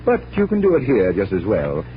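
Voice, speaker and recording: A man's voice: fairly low pitch, wildly swooping pitch, fairly quick. An actor playing a confident, genial magician detective in 1940s radio style, instructing like a theatrical showman. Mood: playful showmanship. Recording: dull, noisy vintage radio recording.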